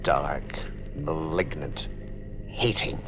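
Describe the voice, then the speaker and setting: An actor playing a brooding, bitter husband slipping into madness, in slow, menacing 1940s radio drama style. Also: male voice, very deep voice, steady tone, gravelly, music in the background, full character voice. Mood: grim, bitter. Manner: slow, theatrical, menacing delivery. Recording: muffled vintage 1940s radio recording.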